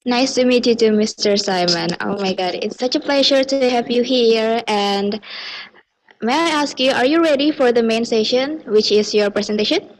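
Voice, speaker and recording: A woman's voice: fairly high pitch, normal speed, poor audio. A young woman moderating a webinar over a video call, upbeat and polite.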